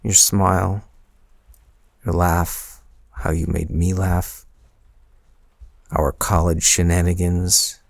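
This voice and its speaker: A man's voice: low voice, creaky, dry recording, studio quality, heavily acted. A man playing a shy young man confiding in his crush, hesitant and intimate, in a boyfriend roleplay audio. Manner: hesitant, halting, soft-spoken confiding.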